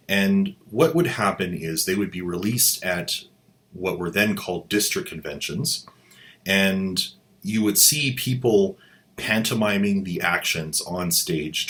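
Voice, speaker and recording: Deep-voiced sardonic male host. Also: fairly bright tone. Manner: sardonic, mocking, playful asides. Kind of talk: sarcastic commentary.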